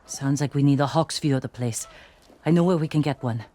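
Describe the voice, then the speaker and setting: An actor playing a pragmatic, loyal fighter in a video game, talking in plain, clipped, practical phrases. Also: male voice, mid-range voice, brisk, mellow, natural voice. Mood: watchful, earnest. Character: pragmatic male fighter, loyal companion scouting enemies. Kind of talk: video game cutscene acting.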